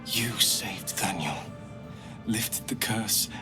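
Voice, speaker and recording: A worn-out old soldier from a fantasy game, grave and sincere. His voice is low and very slow, weighted with tired gratitude. Music plays clearly behind him. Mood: solemn, admiring. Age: older. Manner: solemn, measured, earnest. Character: weary, grateful old soldier, a frail relic. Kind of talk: video game dialogue acting.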